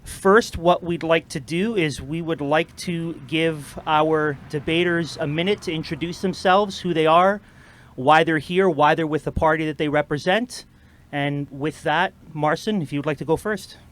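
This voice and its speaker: A man's voice: on the high side, moderate pace, a bit bright. A man moderating an informal outdoor debate, giving upbeat, rallying opening remarks to a crowd.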